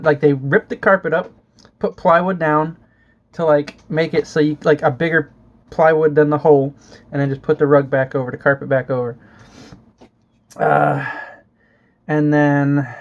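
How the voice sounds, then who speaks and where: A young man from Florida talks casually at home with a slightly raised pitch, swearing freely and restarting his sentences. He fills his talk with uh and you know as he rambles. The recording sounds muffled and lo-fi. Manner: rambling, profane, many fillers and restarts. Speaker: vlogger. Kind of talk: casual chat.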